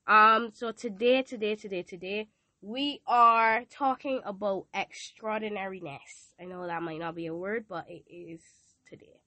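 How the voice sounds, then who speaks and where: A woman's voice: mid-range voice, a little slow, bright, forceful tone, dry recording. A teenage girl with a Barbadian accent, sounding nasal from a cold, hosting a casual devotional podcast in a chatty, playful way. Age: teens. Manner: chatty, playful, asks listeners questions. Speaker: podcast host. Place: hot room with a fan.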